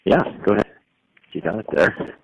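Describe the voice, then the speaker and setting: A man's voice: on the low side, animated, normal speed, slight background noise. A man on a recorded phone call, chatting casually and giving short, agreeable, affirming replies. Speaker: recipient of a phone call from jail. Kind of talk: casual supportive phone chat.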